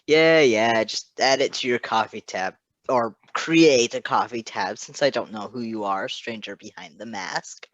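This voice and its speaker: A sassy male nurse in his thirties from a superhero story, voiced by a man reading aloud. He drawls and teases in a breezy, flippant way, at a middle pitch, recorded close and dry. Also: a little slow, fairly bright tone, no room sound. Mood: dismissive, breezy. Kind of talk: audiobook-style character reading.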